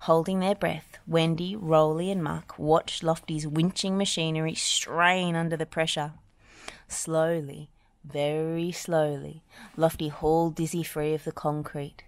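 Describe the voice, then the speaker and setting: A woman reading a children's storybook aloud in an expressive, deliberate way. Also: deep voice, no room sound. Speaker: audiobook reader.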